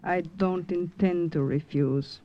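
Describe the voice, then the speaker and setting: An actress playing a brave, wary young woman recruit in a 1940s wartime radio drama, with earnest, halting, dramatic delivery. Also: on the low side, steady tone, muffled recording. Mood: resolute. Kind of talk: 1940s radio acting and first-person narration. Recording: old radio drama with music.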